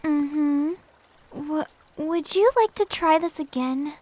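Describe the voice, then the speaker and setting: A woman's voice: sits high, slow, a little mellow. A woman playing a playful, politely teasing young woman in an audio drama. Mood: patient, cheerful.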